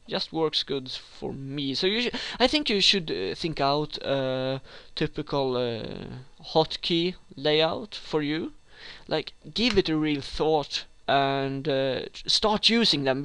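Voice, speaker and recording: Slow-talking Swedish man, dry close sound. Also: a little high, a bit bright, clear, resonant tone, no room sound. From Sweden. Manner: hesitant, many fillers and restarts. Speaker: gaming tutorial host. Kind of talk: rambling screen-recorded game tutorial.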